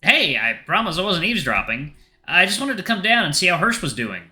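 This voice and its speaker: A man's voice: high voice, big pitch swings, brisk, pushed and bright, pitched above the performer's own voice, exaggerated voice. A man playing a cheeky, affectionate young story hero with a wry, jokey way of talking. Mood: cheerful.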